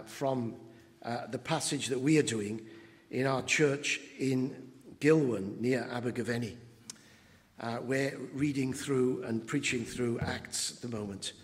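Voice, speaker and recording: A man, preaching an anniversary sermon as pastor, halting with fillers and building to emphatic moments. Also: mid-range voice. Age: 70s. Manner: slow, halting with many fillers, rising to emphatic. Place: church hall. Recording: long church reverb.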